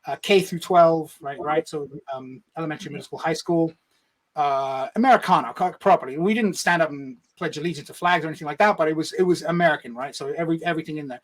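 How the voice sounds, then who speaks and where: A man's voice: a little high, quick pace. A man from Egypt telling a personal story in a casual interview, rambling and swearing, with frequent "right?" tags. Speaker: podcast guest.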